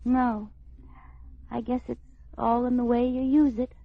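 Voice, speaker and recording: An actress playing a playful, scatterbrained, affectionate housewife, coy and teasing, in an old radio comedy style. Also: female voice, a little high, level tone, unhurried, vocal fry. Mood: coy, tender. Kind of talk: 1940s-50s radio comedy acting. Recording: dull, muffled old radio recording.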